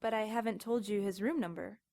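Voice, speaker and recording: An actress playing a chatty, casually sarcastic young motel desk clerk in an audio drama. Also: female voice, medium pitch, fairly quick, creaky, a little mellow, natural voice. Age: young adult. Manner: casual, sarcastic, then terrified.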